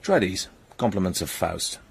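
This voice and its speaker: An actor playing a suave, probing British secret agent in a radio drama, husky and gravelly, with a cool, dry, flirtatious manner. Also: male voice, sits low, lively intonation, normal speed, a little hiss or hum.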